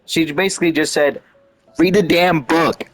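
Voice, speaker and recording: A man's voice: fairly high pitch, fairly even intonation, normal speed, dry recording. A man giving casual, rambling gameplay commentary in an offhand way. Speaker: gamer, streamer. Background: game music.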